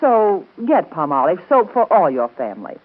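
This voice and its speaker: Animated woman in tinny old radio advertisement. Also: fairly low pitch, moderate pace. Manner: emphatic, persuasive direct address. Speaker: commercial spokesperson. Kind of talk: scripted 1930s radio advertisement. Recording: tinny, band-limited 1930s radio broadcast.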